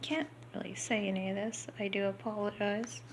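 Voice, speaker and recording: A woman reading a book aloud unrehearsed, halting here and there and slipping into casual asides. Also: medium pitch, flat delivery, a little hiss or hum.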